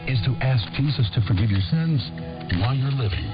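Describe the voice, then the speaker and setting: A man's voice: mid-range voice, expressive, fairly quick. Sex: man. Role: earnest radio announcer. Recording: muffled, music under. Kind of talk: scripted ad read.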